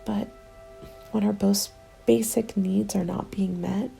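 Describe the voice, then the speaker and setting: Over background music, a woman plays a hungry, bitter female survivor of a zombie apocalypse in an ASMR audio drama. The voice is hushed and mellow, with very heavy creak. It often thins into a breathy whisper. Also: medium pitch, steady tone, slow, low-quality recording. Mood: bitter, conflicted. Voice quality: creaky, soft. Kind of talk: ASMR dramatic roleplay monologue. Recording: music under the voice.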